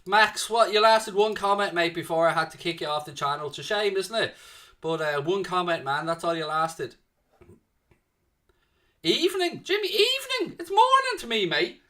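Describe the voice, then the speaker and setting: A man's voice: high voice, expressive, a little fast, bright, forceful tone. A young man with a South Dublin Irish accent hosting a football watchalong stream, chatting casually and answering viewers' comments. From Ireland. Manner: chatty, jokey, answers chat with "mate" and "man".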